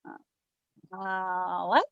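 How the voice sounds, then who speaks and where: A woman's voice: lowish voice, extremely slow, creaky, no echo, full character voice. A woman playing a breezy, flirtatious female private eye, trading quips in a 1940s-style radio drama. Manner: flip, teasing banter. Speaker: radio drama lead and narrator. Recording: slightly dull lo-fi recording.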